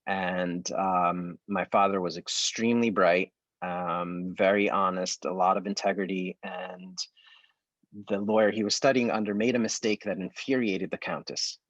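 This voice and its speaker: A man in conversation about his family and work, talking haltingly with many fillers and restarts over a video call. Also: deep voice, fairly even intonation, a bit bright, dry recording, lo-fi sound. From United States. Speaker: artistic director. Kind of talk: conversational interview.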